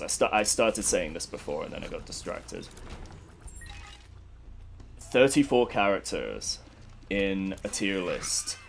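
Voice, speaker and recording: A man's voice: deep voice, vocal fry, slight background noise. A young man with a congested, stuffy voice, rambling candidly and swearing casually as he commentates a game he is playing. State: congested from a cold. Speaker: gaming commentator.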